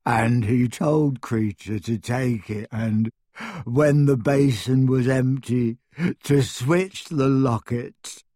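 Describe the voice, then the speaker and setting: A man voicing a frightened old male elf servant in an audiobook, abject and devoted, speaking haltingly through sobs. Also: fairly high pitch, darkish tone, no room sound, clean studio sound, voice pushed up. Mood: tearful, grieving. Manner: sobbing, halting, stammering, speaks of himself in third person. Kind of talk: audiobook character acting.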